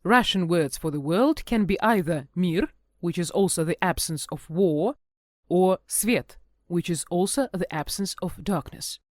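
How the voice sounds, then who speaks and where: Dry, measured woman podcast narrator. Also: lowish voice, no room sound. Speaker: podcast host.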